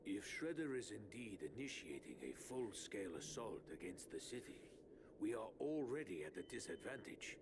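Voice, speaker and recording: An actor voicing a calm, formal old male rat sensei who speaks with measured gravity. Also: on the low side, noisy background. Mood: grave. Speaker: martial arts master and father. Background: game music.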